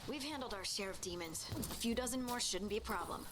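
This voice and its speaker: A woman's voice: fairly high pitch, fast talker, noisy background, close to an everyday voice. A woman playing a practical, wry scout companion in a fantasy video game. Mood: wry, confident. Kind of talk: video game character acting. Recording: music behind the voice.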